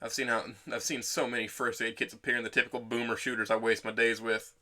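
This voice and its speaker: A man voicing an injured, self-deprecating teenage boy who narrates his own story in a measured, wry, deadpan way for an audio drama. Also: mid-range voice. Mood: descriptive. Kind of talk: first-person scripted narration.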